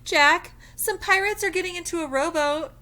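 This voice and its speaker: A woman voicing a bold young girl who shouts urgent warnings. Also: extremely high pitch, moderate pace, voice pushed up.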